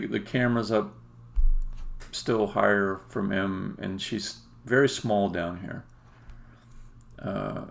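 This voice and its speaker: A man giving a casual live demo as a game developer, explaining things in halting phrases with pauses mid-sentence. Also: on the low side, gravelly. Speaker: game developer, stream host.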